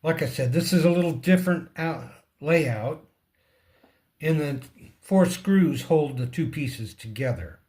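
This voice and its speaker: A man giving a casual hands-on repair demonstration, speaking in halting short fragments and muttering to himself as he works. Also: on the high side, animated, a little mellow. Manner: talks to himself and the object. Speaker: repair tutorial presenter.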